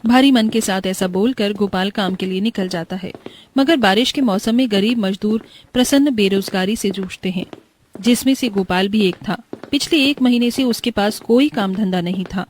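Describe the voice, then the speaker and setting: A woman tells a scripted cartoon bedtime story. Her voice sits at a middle pitch as she narrates the moral tale. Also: moderate pace, a little hiss or hum, poor audio.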